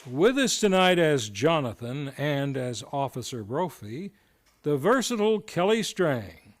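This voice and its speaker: A man's voice: on the high side, very animated, measured pace. A man hosting and narrating a radio play with theatrical, grandiose scripted delivery. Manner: grandiose, showy announcing.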